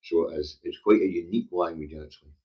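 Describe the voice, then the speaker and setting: Man, Glaswegian Scottish accent, lowish pitch, husky, blunt, opinionated, conversational livestream commentary. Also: fast talker, dull audio. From Scotland. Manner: blunt, emphatic, sweary, conversational. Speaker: livestream current affairs show host. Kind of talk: opinionated casual live commentary.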